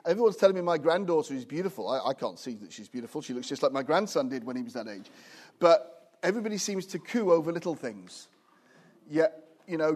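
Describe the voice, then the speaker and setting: A man in his fifties, a guest preacher in a small church, speaking with jovial asides and growing animated as he preaches. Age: 50s. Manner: animated, rising loud and high when preaching; hushed, soft prayer. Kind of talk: sermon. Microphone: own recorder, no microphone.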